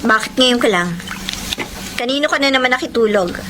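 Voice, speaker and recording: An actress playing a suspicious, hurt young wife in a Filipino radio drama, emotional and accusing, with a Filipino accent. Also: female voice, a little high, animated, brisk, natural voice. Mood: hurt, questioning. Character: suspicious, hurt young wife confronting her cheating husband. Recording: noisy radio drama with background ambience.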